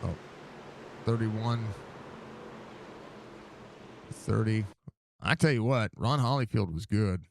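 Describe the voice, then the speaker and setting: Gravelly, stuffy male race caller. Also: fairly low pitch, slow, pauses often, noisy background. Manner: excited, rambling play-by-play with fillers. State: stuffy, congested. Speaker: sim racing broadcaster. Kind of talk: live race commentary.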